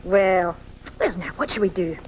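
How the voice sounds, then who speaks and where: A woman's voice: on the low side, expressive, normal speed, slight background noise, lightly acted, low-quality recording. A woman playing a kind, encouraging young schoolteacher in a 1980s live radio drama, recorded off the air at home. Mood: cheerful.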